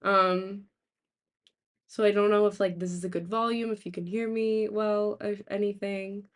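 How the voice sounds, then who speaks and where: A young woman with a raspy, sore-throated voice, chatting casually to live stream viewers in a halting, rambling way. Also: mid-range voice, steady tone. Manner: halting, rambling, thinking aloud. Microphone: laptop, without usual microphone.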